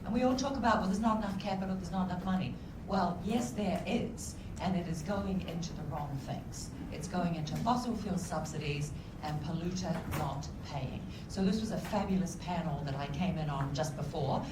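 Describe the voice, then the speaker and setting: A woman's voice: on the low side, moderate pace, hardly any pauses, background noise. Emphatic New woman on a panel. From New Zealand. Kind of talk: panel discussion. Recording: echoey room from far off with loud music.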